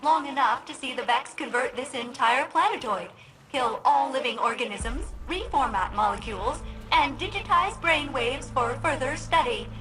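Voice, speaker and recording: A high-pitched, malfunctioning female spaceship AI in a video game. She is split between polite, formal cheer and sullen, dry sarcasm. Music plays behind her. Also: moderate pace, pushed and bright, low-quality recording. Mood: chirpy, formal.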